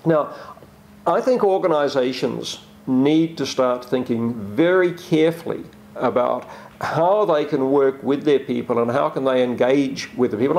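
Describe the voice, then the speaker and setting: A man from Australia, a leadership researcher, explaining his views in a calm, measured conversational way. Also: medium pitch, gravelly. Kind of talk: conversational discussion.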